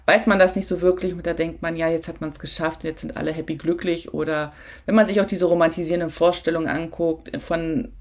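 A woman's voice: sits low, fairly even intonation, fairly quick. A woman hosting a solo podcast, talking casually and reflectively straight to the listener, with frequent filler sounds and self-corrections. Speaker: podcast host.

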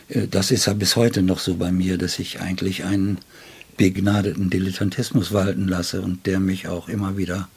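A man's voice: sits low, a little mellow, husky. An older man from northern Germany, a writer in an interview, telling stories reflectively in ornate wording with frequent little question tags. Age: 60s or older. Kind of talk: reflective conversational storytelling. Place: indoors at his home.

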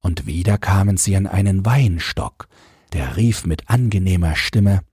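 A man's voice: sits low, a little slow, warm tone, husky, no echo. A man narrating a scripted fairy tale as an audiobook storyteller, close to the microphone. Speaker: narrator, podcast host.

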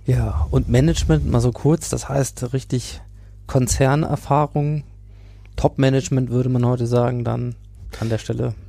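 Mellow-voiced man hosting a podcast. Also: medium pitch, fairly even intonation, moderate pace, creaky, no echo. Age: 40s. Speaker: podcast host, interviewer. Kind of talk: conversational podcast interview.

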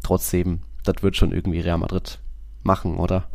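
Guy talking football on a podcast, mellow dark voice, really dry sound. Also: sits low, completely flat delivery, normal speed, gravelly, dry recording. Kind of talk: casual football analysis and preview.

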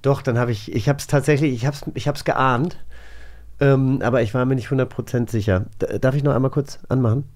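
A man with a medium-pitched voice chats casually as a podcast host. He talks informally, as in a relaxed group game. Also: brisk. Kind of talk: casual chat, guessing game.

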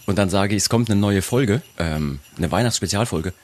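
A man hosting a podcast over a video call, chatty and self-mocking, his voice hoarse from a cold. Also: lowish voice, moderate pace. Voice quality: husky. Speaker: podcast host. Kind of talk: casual chat.

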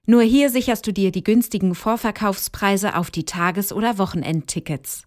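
A woman's voice: medium pitch, normal speed. A woman reading a scripted, upbeat promotional spot for a festival. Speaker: ad announcer. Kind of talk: scripted ad read.

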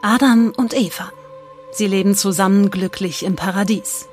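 Lady narrating a story from a script, normal pitch, bit of music behind. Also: fairly even intonation, normal speed, darkish tone.

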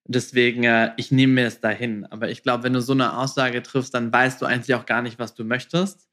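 Guy hosting a podcast, mid-pitched, chatty and informal, throws in english words. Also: level tone, brisk, fairly bright tone. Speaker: podcast host, interviewer. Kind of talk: casual interview conversation.

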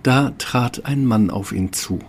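A man's voice: medium pitch, slow, a little hiss or hum. A man narrating a scripted fairy tale as storyteller. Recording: music underneath.

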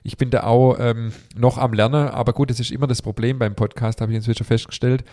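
Fast-talking man with a cold. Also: mid-range voice, level tone, dark tone. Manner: chatty, rambling with many fillers and restarts. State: has a cold. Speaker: podcast host. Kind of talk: casual podcast chat.

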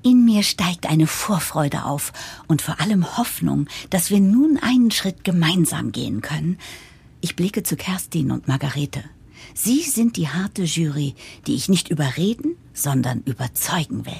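An actress playing an earnest, hopeful young project leader, narrating a confiding, breathy inner monologue in an audio drama. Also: female voice, sits low, big pitch swings, a little slow, a little mellow, heavily acted.

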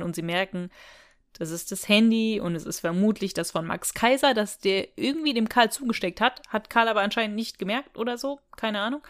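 A woman co-hosting a podcast in casual, colloquial chat, recapping a story and often tacking on little tag questions. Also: mid-range voice. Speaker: podcast co-host.

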